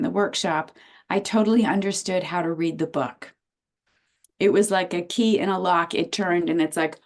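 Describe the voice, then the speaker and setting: An American woman in her sixties, a naturopath and teacher, chatting as an interview guest over a video call and telling her story with lively restarts. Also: medium pitch, creaky. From United States.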